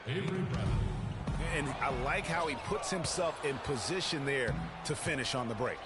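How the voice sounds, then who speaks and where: A man's voice: fairly high pitch, unhurried, loud music behind the voice, close to an everyday voice. A man voicing a folksy, opinionated color commentator in a basketball video game. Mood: analytical.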